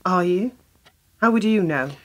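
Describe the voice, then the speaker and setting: A woman's voice: on the low side, animated, unhurried. An actress playing a wary, sardonic young working woman in a radio play, curt, dry and challenging. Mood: challenging, suspicious.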